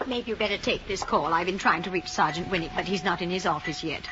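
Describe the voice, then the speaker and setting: A woman playing a brisk, helpful hospital nurse in a 1950s radio drama. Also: fairly low pitch, close to an everyday voice. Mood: businesslike. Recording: dull noisy 1950s radio recording.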